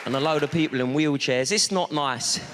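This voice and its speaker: A man's voice: a little high, a little fast, very noisy. A man doing cheeky, chatty crowd work in a stand-up set on a theatre stage. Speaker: stand-up comedian. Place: large theatre stage with audience. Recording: live theatre with long reverb and crowd.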